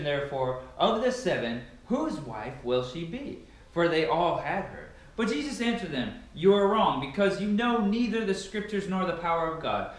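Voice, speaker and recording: A man giving a solemn, declamatory dramatic reading of scripture as an amateur reader. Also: high voice. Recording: very echoey, reverberant room.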